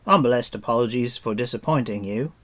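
A man's voice: medium pitch, a little fast, close to an everyday voice. A man performs a wandering bard from a fantasy storybook. He is nervous and courtly, given to flowery protest and grand exclamation. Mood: apologetic. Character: frightened, flowery, bowing male wandering bard.

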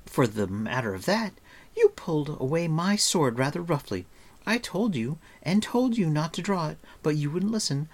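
A man's voice: fairly high pitch, very animated, normal speed, pitched above the performer's own voice. A man voicing a chattering, scolding, breezily confident young girl in a storybook, talking in a breathless rattle. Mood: matter-of-fact chatter.

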